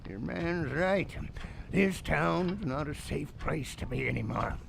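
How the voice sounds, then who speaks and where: A man's voice: fairly high pitch, lively intonation, slow pace, background noise, heavily acted. An actor playing a drunk old seaman who spins grim tavern tales in a theatrical, ominous manner. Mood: indignant. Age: older. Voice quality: gravelly, creaky. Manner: theatrical, ominous, salty old-sailor phrasing. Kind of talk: theatrical horror audio drama.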